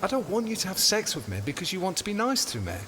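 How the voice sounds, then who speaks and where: A man's voice: high voice, wildly swooping pitch, fast talker, a bit bright, a little hiss or hum, heavily acted. A man acting as an uneasy, self-doubting boyfriend in an audio drama, protesting in a hesitant, defensive way.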